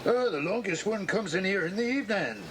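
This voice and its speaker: An actor playing a talkative railway porter, folksy and familiar with children, in an audiobook dramatisation. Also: male voice, high-pitched, expressive, a little fast. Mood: chatty. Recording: noisy old cassette dramatisation.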